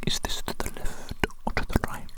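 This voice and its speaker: A woman speaks entirely in a breathy whisper, slow and deliberate. She plays a doting, eccentric evil doctor in an ASMR role-play, addressing the listener in soothing, repetitive phrases.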